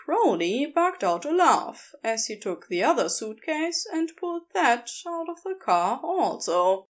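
Slow, high-voiced woman narrating a story. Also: sing-song. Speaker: podfic narrator.

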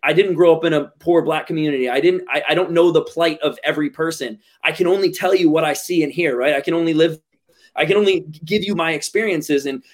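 A man's voice: a little high, quick pace. A man in a casual podcast discussion, talking earnestly and stumbling over his words with many restarts. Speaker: podcast guest.

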